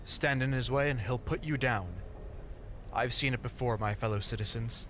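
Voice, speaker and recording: A man's voice: medium pitch, normal speed, a bit bright, slight background noise, pitched above the performer's own voice, lightly acted. A man voicing a fervent, tearful female rogue priestess preaching doom in an impassioned, pleading and sneering manner for an audio drama. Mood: fervent, accusing.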